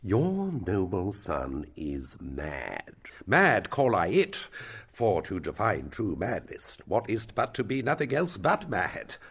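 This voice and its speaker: Man playing a pompous, husky old counsellor. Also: lowish voice, wildly swooping pitch, slow, fairly bright tone, full character voice. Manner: pompous, fussy. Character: self-important, long-winded old royal counsellor. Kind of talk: Shakespearean stage-style acting.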